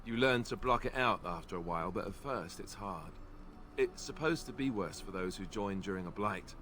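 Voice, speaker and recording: A man's voice: on the low side, moderate pace, sharp tone, a little hiss or hum. An actor voicing a young male warrior in a video game, who is joking and self-deprecating with a dry, wry humor. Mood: somber.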